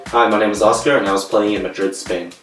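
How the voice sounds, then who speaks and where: Low-voiced Canadian man, echoey and distant. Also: steady tone, quick pace, a bit bright, faint music behind. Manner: earnest, plain recounting with fillers. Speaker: co-host and guest player. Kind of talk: casual interview answers. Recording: echoey room from far off.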